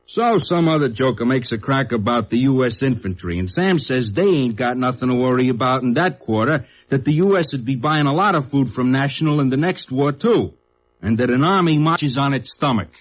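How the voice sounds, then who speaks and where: A shady saloon keeper, voiced by a male actor with a working-class American accent. He grumbles in rough slang and dodges around his answers. It sounds like a muffled mid-century radio play. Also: mid-range voice, expressive, brisk, lightly acted. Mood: gruff recounting. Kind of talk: 1950s radio drama acting. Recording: muffled old radio recording.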